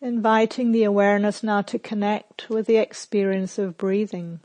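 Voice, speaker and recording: A woman meditation teacher leading a guided meditation in a calm, gentle, measured manner with long pauses. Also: mid-range voice, no room sound.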